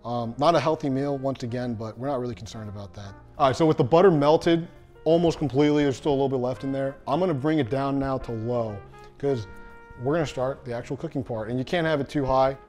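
Young male host, casual and self-deprecating, mid-pitch, kitchen demo. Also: fast, a little mellow, faint music behind. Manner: chatty, self-deprecating, addresses viewers as guys. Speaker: cooking show host. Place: home kitchen.

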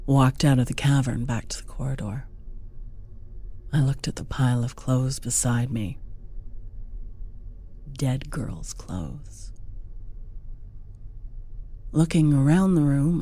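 A narrator reading a scripted first-person fantasy story in a hushed, dramatic, deliberate manner. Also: medium pitch. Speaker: audiobook narrator.

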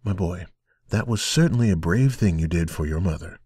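A man voicing a kindly, fatherly talking teapot in an audio drama, gentle and reassuring. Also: sits low, lively intonation, a little fast, slightly rough, no room sound.

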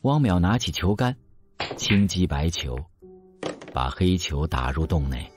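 Deep-voiced male narrator, expressive delivery. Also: unhurried, over music. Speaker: narrator of an audio drama. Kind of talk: scripted dramatic narration of a novel.

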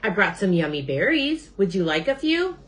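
A woman's voice: mid-range voice, big pitch swings, normal speed, a little hiss or hum, close to an everyday voice. A woman voicing a friendly, generous male fox in a children's story, obliging and upbeat. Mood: friendly offering.

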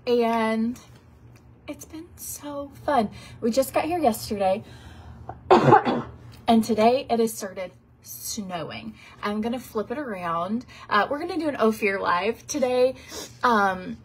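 A woman's voice: fairly high pitch, lively intonation, takes it slowly, breathy edge. A sniffly woman in her thirties from Tennessee, chatting in a rambling, casual way while getting ready in a hotel room, talking into a phone held at arm's length. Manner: chatty and rambling, lots of likes and ums. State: sniffly from a cold. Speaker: beauty vlogger. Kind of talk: casual get-ready-with-me chat. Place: fancy hotel room, indoors.

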